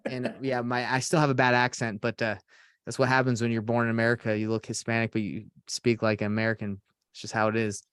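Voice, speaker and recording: A man's voice: mid-range voice, level tone, fast talker, a bit bright, clear, resonant tone, no room sound, clean studio sound. A young man in his twenties, a real estate coach being interviewed, talking earnestly with many restarts. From Atlanta, Georgia. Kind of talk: casual podcast interview.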